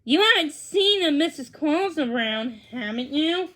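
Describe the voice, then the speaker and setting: A woman voicing an eager man on a rescue mission in a storybook read-along, with a brisk, upbeat delivery. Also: high-pitched, lively intonation, a bit bright, pitched above the performer's own voice.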